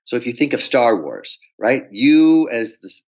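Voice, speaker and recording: A man being interviewed as a pitch coach, telling anecdotes and acting out quoted dialogue. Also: animated, normal speed, a little mellow. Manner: storytelling, acts out quoted dialogue.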